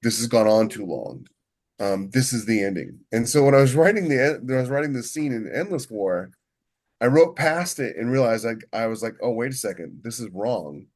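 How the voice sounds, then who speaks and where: A man's voice: mid-range voice, expressive, normal speed, a little mellow. A man talking casually as a podcast co-host, rambling and often doubling back on his words as he explains his writing. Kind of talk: casual shop talk about writing.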